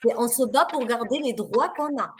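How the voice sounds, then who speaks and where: A woman's voice: a little high, expressive, moderate pace. A woman from France speaking on a video call as a webinar panelist, insistent and emphatic, hammering points home with repetition. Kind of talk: passionate webinar discussion.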